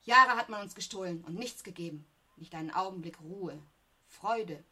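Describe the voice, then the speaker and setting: A woman's voice: lowish voice, normal speed, bright, forceful tone, breathy edge, heavily acted. An actress playing a proud, aggrieved young working woman, emphatic and reproachful, in a radio play. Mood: bitter. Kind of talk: German radio play acting.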